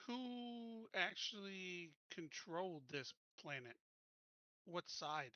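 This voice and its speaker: A man playing in a tabletop roleplaying game, asking eager questions and thinking out loud. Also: high voice, expressive, slow, dry recording, highs cut off. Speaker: player. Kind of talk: casual chat in a tabletop game.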